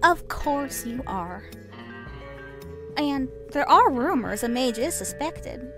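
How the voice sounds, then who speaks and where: A woman's voice: high voice, animated, slow pace, a bit bright, full character voice. A woman playing a pushy interviewer who needles and insinuates. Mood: sly. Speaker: interviewer questioning the constable. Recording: music playing behind.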